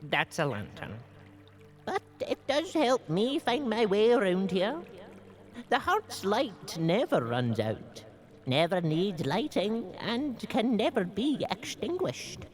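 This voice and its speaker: A woman playing a gentle old male mushroom gatherer in a family audio drama, musing and hesitant. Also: mid-range voice, big pitch swings, takes it slowly. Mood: kindly, reflective. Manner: musing, hesitant, humming to self.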